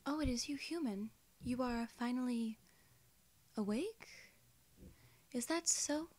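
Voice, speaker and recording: A polite, curious alien in human form , played by a young woman, greets the listener in an ASMR roleplay. Her voice is fairly high and soft, slipping into whispers. She takes her time over every formal, quaint phrase. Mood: soft, welcoming. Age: young adult. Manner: slow, soft-spoken, formal quaint wording.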